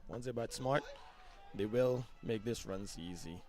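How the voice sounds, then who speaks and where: A young man from Guyana, a cricketer guest, talking in a relaxed, halting way with fillers on a match commentary. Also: on the low side. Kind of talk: casual interview on commentary.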